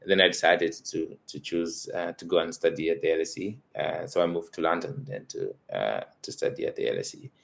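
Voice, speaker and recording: Very deep-voiced man from Zambia, hesitant. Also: a little fast, vocal fry, hardly any pauses. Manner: hesitant, many fillers and restarts, soft laughs. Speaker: guest, college tutor. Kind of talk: relaxed interview answers.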